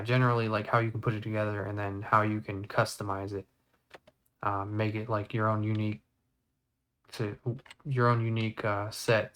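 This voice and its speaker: A man's voice: lowish voice, fairly bright tone. A man giving an informal, rambling tutorial in a casual speaking style. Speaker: video editing tutorial presenter.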